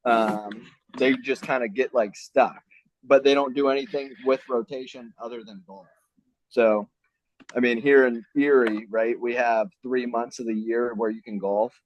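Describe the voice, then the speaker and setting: Casual trainer explaining with "I mean"s. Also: male voice, mid-range voice, steady tone, measured pace, a little hiss or hum. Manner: loose and conversational, many fillers like "I mean" and "right?". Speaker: mobility and stretching trainer. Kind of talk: casual explanatory interview answers. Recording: dull lo-fi sound.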